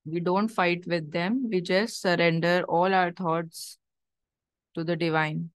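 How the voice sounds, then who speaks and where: Slow, even-toned woman leading meditation. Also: fairly low pitch, no room sound. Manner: measured, short phrases with long pauses. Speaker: guided meditation leader. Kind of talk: guided meditation, gentle instruction and prayer.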